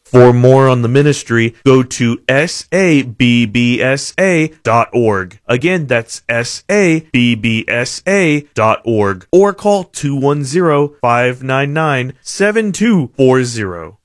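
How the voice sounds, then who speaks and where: A man reads a promo at a slow, deliberate pace. The sound is dry and close. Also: mid-range voice, lively intonation, no room sound. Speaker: announcer. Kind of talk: radio promo.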